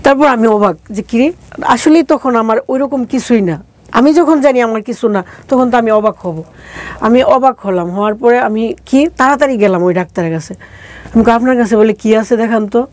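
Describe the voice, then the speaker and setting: Female interview guest, regional Bangladeshi accent, soft dark tone, close dry sound, colloquial storytelling. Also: fairly high pitch, normal speed, no room sound. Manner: colloquial, acting out remembered dialogue. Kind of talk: conversational personal life-story telling.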